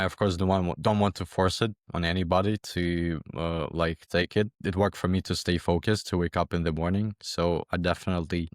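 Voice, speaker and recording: Low-voiced young man from Ukraine. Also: no echo, studio quality. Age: young adult. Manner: hesitant, repeats words, many fillers. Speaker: interview guest, former exam candidate. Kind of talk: casual interview talk.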